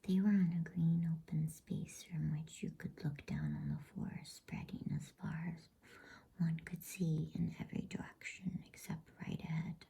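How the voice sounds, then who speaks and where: A woman reads a storybook aloud as ASMR in a hushed, unhurried, breathy whisper meant to soothe. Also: low voice, lively intonation. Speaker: reader of bedtime stories.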